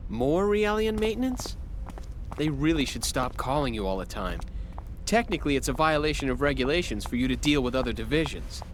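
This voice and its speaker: A man's voice: on the high side, animated, brisk, close to an everyday voice. A man playing an eager, slightly flustered young subordinate in a video game, earnest and hesitant. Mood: fretful. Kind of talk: English game dub acting. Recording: clear background noise.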